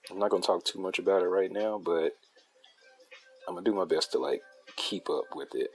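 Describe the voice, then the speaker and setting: Male streamer-artist, very low soothing voice, relaxed rambling chat. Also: a little fast, soft background music. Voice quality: deep, soothing voice. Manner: laid-back, loose and chatty, with laughs and restarts. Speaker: artist drawing a comic on a livestream. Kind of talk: casual rambling chat while drawing.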